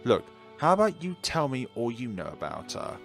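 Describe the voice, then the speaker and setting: A man's voice: medium pitch, wildly swooping pitch, moderate pace, a bit bright, soft background music. Man playing a defensive, secretive teenage boy. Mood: earnest.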